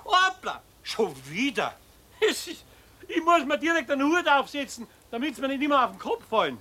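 An actor playing a puzzled, good-natured older neighbour with a homely Bavarian accent in a 1970s children's radio play. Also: male voice, very high-pitched, very animated, moderate pace, pushed and bright, heavily acted. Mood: bemused.

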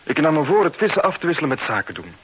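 An earnest young art dealer in an old radio drama. His voice is a little husky and he speaks hesitantly, with a pleading sincerity. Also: a little high, very animated, racing, a bit bright, full character voice, rough recording. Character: earnest young American art dealer named Dick Branton.

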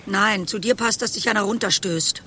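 Bright, tense, solemn young woman played by an actress. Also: mid-range voice, normal speed, slight background noise, close to an everyday voice. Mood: dry, aloof. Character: earnest, tormented, humourless young woman in crisis. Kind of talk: German radio play acting.

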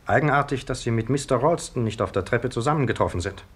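An actor playing a stern, methodical police sergeant in a 1950s radio play, speaking in a brisk, probing, official way. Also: male voice, medium pitch, animated, husky. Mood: probing, sceptical.

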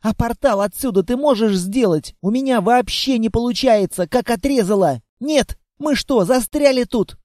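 A man voicing a chatty little girl, a child of darkness, who pleads and chatters without pause. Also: very high voice, a little mellow, dry recording, clean studio sound, pitched above the performer's own voice. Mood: anxious.

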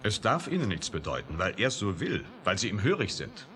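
An actor playing a provocative, sardonic scientist in a radio drama, teasing and needling with a defiant, flirtatious streak. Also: male voice, lowish voice, very animated, fairly quick, bright, forceful tone. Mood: flirtatious, challenging. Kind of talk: 1980s German radio drama acting.

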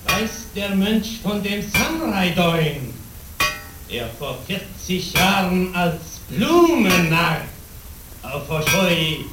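An actor playing a proud, formal samurai knight in a radio play, with a ceremonious, declamatory delivery. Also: male voice, sits high, big pitch swings, slow pace, background noise, heavily acted. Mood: formal, probing. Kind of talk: German radio play acting. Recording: echoey, distant radio drama, music behind.

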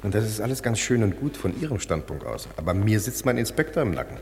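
An actor playing a British police sergeant in a German radio crime play, polite and soothing yet insistent. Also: male voice, fairly low pitch, big pitch swings, fast, a little hiss or hum. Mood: grumbling, impatient. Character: persistent, coaxing male British police sergeant questioning witness.

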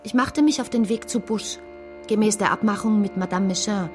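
An actress playing a reflective, hopeful woman who recounts her own story in measured, literary phrasing in a radio play. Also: mid-range voice, music in the background, natural voice. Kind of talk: first-person radio play narration.

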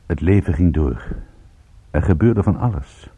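An actor playing a world-weary, sardonic private detective with a husky voice in a radio play. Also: male voice, low voice, animated, a little slow, narrow, tinny audio, heavily acted. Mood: brooding narration. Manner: wry, sardonic, laconic. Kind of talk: radio drama acting with first-person narration.

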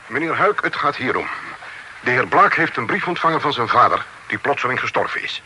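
A solemn, sharp-voiced local official. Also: male voice, medium pitch, lively intonation. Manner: halting, repeats himself. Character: solemn, blustering local official demanding silence. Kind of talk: period radio drama acting. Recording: noisy old radio play recording.

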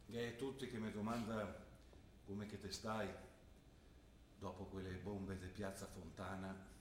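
A man's voice: fairly low pitch, little pitch movement, measured pace, natural voice. An actor playing an older, fussy father from the provinces who speaks with a Trentino dialect, in a stage play. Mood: grumbling. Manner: blunt, grumbling, dialect-heavy talk. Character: older provincial father visiting his daughter, gruff and fussy. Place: theatre stage. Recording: echoey stage sound from a distance with faint noise.

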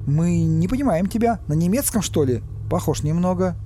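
A man's voice: high voice, lively intonation, warm tone, a little hiss or hum, pitched above the performer's own voice. A man voicing a touchy, indignant human schoolboy in an audiobook drama. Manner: indignant, quick to take offense.

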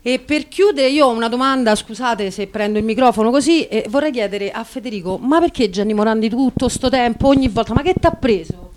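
A woman with a high voice presents on radio. Her delivery is casual, moving between banter and announcements. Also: a little fast, hardly any pauses, slight background noise.

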